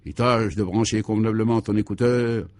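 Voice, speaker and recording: A man's voice: on the low side, normal speed, darkish tone, no echo, lightly acted. A man playing a bossy conspirator in a comic radio play, commanding, pompous and exacting as he gives absurd orders. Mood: commanding, secretive.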